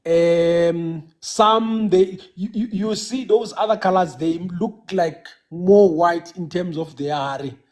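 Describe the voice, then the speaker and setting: A South African man whose first language is Xhosa, calling in to a casual live chat and talking haltingly, with many restarts and fillers. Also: high voice, darkish tone. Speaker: caller.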